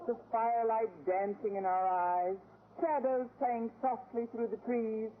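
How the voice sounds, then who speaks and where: A woman's voice: fairly high pitch, unhurried, close to an everyday voice. Woman playing a dry, wisecracking schoolteacher. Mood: dry, wry. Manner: sardonic, deadpan. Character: Miss Brooks, dry, wisecracking female schoolteacher. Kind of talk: old-time radio comedy acting. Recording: tinny old radio recording, faint hiss.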